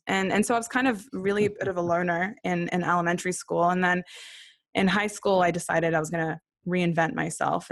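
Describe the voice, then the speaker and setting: A woman's voice: on the low side, vocal fry, dry recording. A woman from Australia, raised partly in Israel, telling personal stories casually and candidly as an interview guest. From born in Australia, raised in Israel and Brisbane. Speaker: podcast guest, singer-songwriter.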